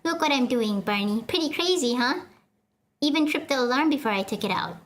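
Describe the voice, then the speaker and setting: A woman's voice: high voice, expressive, a little fast. A woman voicing a cocky young male bank robber who talks smugly and boastfully.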